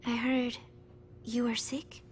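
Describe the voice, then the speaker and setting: A woman's voice: a little high, level tone, slow pace, slight background noise. An actress playing a shy, guarded young woman agent posing as a schoolgirl in a video game, hesitant and deferential. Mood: hesitant, polite. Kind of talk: dramatic game voice acting.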